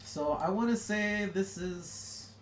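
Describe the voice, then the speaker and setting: A young adult streamer talks loosely while drawing, wandering through thoughts with constant "like"s and restarts. The voice sits at an ordinary middle pitch. The room echoes noticeably, with a faint hum of ambience underneath. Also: takes it slowly. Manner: rambling, full of "like" and restarts. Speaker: streamer reading chat. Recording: roomy room echo.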